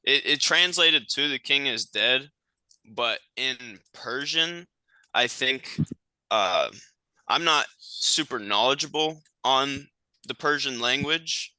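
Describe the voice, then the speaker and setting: A man's voice: mid-range voice, slow pace, bright, forceful tone, no room sound, poor audio. A young man student answering questions on a video call, hesitant with many ums. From United States.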